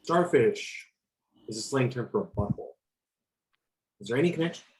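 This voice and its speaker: A man's voice: mid-range voice, expressive, normal speed, a little mellow, a little hiss or hum, natural voice, rough recording. A man playing a cocky, argumentative superhero soldier with a needling, self-righteous manner in an amateur table read. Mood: blunt, questioning.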